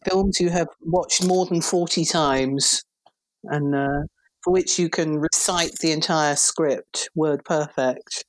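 A woman in her fifties from England with a very deep voice, a PR director talking thoughtfully as a podcast guest. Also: steady tone, slow pace, rough recording. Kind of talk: relaxed conversational interview.

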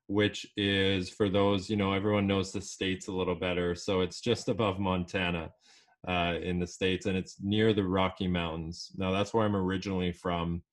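Guy, 30s, really deep voice, laid back and rambly, guest on a podcast. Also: moderate pace. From Canada. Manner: relaxed, rambling, with fillers. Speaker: podcast guest, life coach. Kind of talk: casual interview talk.

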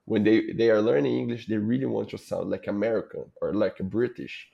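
A Brazilian man speaks English with a Brazilian Portuguese accent. His voice is low and gravelly, and he is hesitant in casual conversation, often repeating words. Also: steady tone, brisk, mellow, highs cut off.

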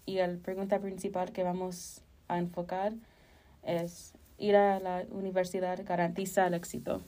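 A woman's voice: fairly low pitch, flat delivery, normal speed, dark tone. A woman, co-hosting a casual podcast discussion in hesitant, self-correcting phrases. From Chicago, United States. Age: early 20s. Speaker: podcast co-host.

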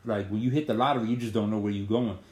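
A man's voice: lowish voice, steady tone, very fast, darkish tone. A man, chatting casually and telling stories in street slang. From New York. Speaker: podcast guest. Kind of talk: casual chat. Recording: echoey room.

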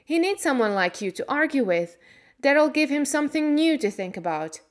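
An anxious servant woman from a storybook, put on by a female narrator. She sounds urgent and pleading, with a high, excitable voice. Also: normal speed. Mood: relieved urging.

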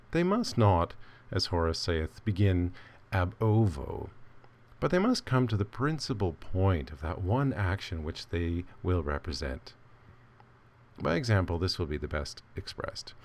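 A man reading a literary essay aloud with measured, deliberate phrasing. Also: fairly low pitch, a little mellow. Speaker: reader.

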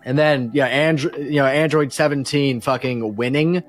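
A man's voice: medium pitch, fairly even intonation, moderate pace, soft background music. A man chatting casually as a podcast guest, talking emphatically about things he is a fan of and swearing freely. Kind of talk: animated casual fan chat.

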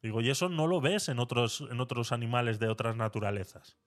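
A man's voice: mid-range voice, animated, brisk. A man hosting a live stream, chatting casually and reading viewer comments aloud. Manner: reads viewer comments aloud, laughs often. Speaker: host reading live chat.

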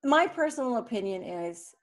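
A woman from Switzerland co-hosting a podcast, talking casually with animated restarts and fillers. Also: a little high, normal speed. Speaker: podcast co-host. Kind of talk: casual conversation.

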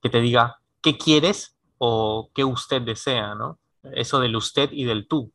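A man in a casual conversation, musing aloud in a lively way and laughing now and then. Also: mid-range voice, slow pace, vocal fry, no room sound.

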